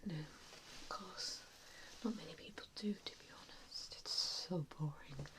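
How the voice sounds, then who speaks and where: A woman performing a softly spoken ASMR roleplay as a gentle, reassuring vampire mentor, with a soothing, hesitant manner. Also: low-pitched, a little slow.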